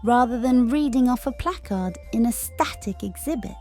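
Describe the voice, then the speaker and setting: Woman as a high-voiced, practical cartoon character. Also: lively intonation, normal speed, a little mellow, faint music behind, lightly acted. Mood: matter-of-fact. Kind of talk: scripted character dialogue.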